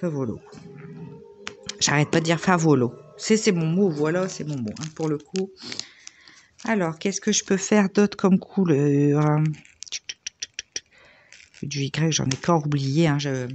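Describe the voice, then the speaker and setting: A slightly hoarse woman in her thirties, chatting casually with lots of fillers as she works on a diamond painting at home. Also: low voice, measured pace, pauses often, darkish tone, soft background music. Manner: chatty, rambling, many fillers. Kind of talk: casual chat while crafting.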